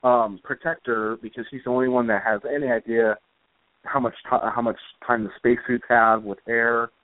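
Guy chatting casually in an interview, kinda halting and rambly, sounds like hes on a phone call. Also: medium pitch, fairly even intonation, dry recording. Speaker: guest, comic book author.

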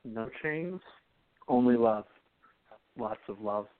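A man's voice: medium pitch, slow, close to an everyday voice. A man playing a devoted young newspaperman in a 1920s radio romance, speaking in an emphatic, romantic way. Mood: tender. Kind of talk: radio play acting. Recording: tinny phone-like line.

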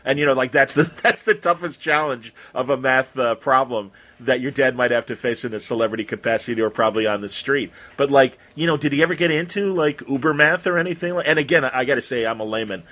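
Middle aged guy doing a loose chatty interview, kinda rambles, average pitch. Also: quick pace, few pauses, pushed and bright. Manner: rambling, informal, talks around his questions. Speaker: radio host interviewing an author. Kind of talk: casual conversational interview.

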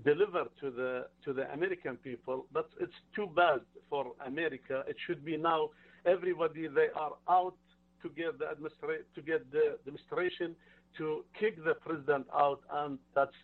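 A man's voice: a little high, steady tone, recorded from a distance. Man on a tinny phone line. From Iraq. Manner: halting, earnest, non-native phrasing. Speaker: caller. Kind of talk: phone-in call to a talk show.

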